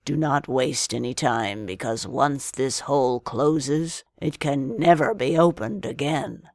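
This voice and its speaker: A woman's voice: a bass voice, no echo, clean studio sound, pitched below the performer's own voice, full character voice. A woman voicing a commanding, scheming old man in a children's storybook tale. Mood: stern, urgent. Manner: commanding, deliberate.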